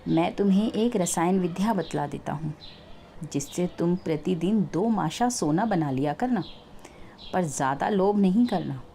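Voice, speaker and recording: A woman's voice: lowish voice, animated, moderate pace, creaky, mellow, a little hiss or hum. Woman reading as sly fake holy man. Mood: instructing. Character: sly male swindler disguised as a holy man.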